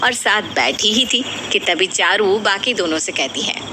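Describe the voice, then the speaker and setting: A woman's voice: mid-range voice, lively intonation, normal speed. A woman reading a scripted moral story as the storyteller. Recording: clearly noisy background ambience.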